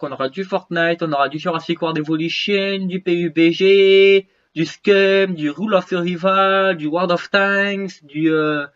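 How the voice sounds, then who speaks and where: A man's voice: a little high, fairly bright tone, highs cut off. A man with a cold, short of breath, chatting casually and rambling to his viewers as he shows off his phones and gear. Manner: rambling, restarts, slangy. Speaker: YouTuber showing his gear. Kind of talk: casual vlog chat to viewers.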